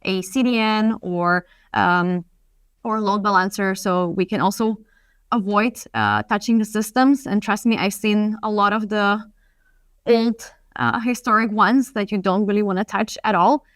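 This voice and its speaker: Woman from customer success fielding webinar questions, reassuring, lots of ums, smooth voice, dry close sound. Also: medium pitch, unhurried, fairly bright tone, dry recording. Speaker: customer success team lead. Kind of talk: webinar Q&A answers.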